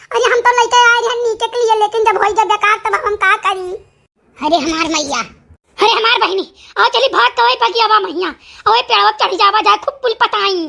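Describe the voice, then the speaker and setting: A performer voicing a fretful, panicky cartoon village woman with a squeaky high voice and an Awadhi accent, wailing and pleading in comic exclamations. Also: creaky, pushed and bright, full character voice. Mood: breathless complaining. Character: panicky squeaky village women fleeing a tiger, fretful and squabbling. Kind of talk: animated comedy dialogue in cartoon skit.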